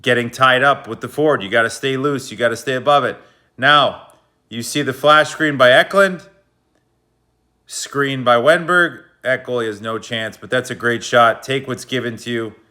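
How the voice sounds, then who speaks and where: A man's voice: medium pitch, fairly even intonation, moderate pace, bright, forceful tone. A man giving a casual, slangy breakdown of hockey game footage, explaining plays like a coach. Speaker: hockey video analyst.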